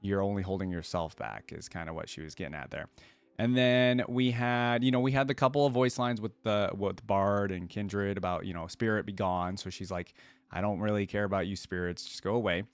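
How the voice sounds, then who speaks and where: A man giving casual reaction commentary, musing and thinking aloud in a halting way. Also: fairly low pitch, fairly even intonation, clean tone, dry recording. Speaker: reaction video host.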